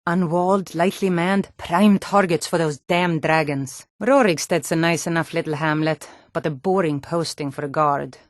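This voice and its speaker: Actress as a low-voiced, hardy fantasy townswoman. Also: normal speed, hardly any pauses, no room sound, clean studio sound, natural voice. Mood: steady, watchful. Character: hardy, no-nonsense Nord townswoman and guard of a fantasy world. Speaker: video game voice actor. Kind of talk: scripted fantasy game dialogue.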